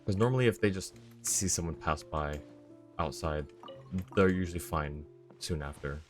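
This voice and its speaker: Male game streamer, low-pitched, chatty and casual, faint music underneath. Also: normal speed. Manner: chatty, talking to viewers and himself. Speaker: live streamer. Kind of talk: casual gameplay stream commentary.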